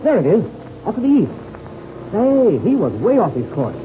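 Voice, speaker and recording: An actor playing a seasoned, level-headed American pilot and mentor in an old radio adventure serial, hearty and instructive, with an American accent. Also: male voice, high voice, very animated, moderate pace. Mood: excited. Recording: noisy, dull old radio recording.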